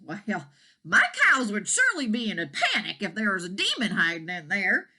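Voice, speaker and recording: A bellowing, grumpy storybook farmer, voiced by a woman reading aloud. He barks and grumbles in loud bursts, his tone bright and piercing, his pitch jumping around. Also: wildly swooping pitch, normal speed, heavily acted. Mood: excited. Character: blustering middle-aged male farmer, hot-tempered.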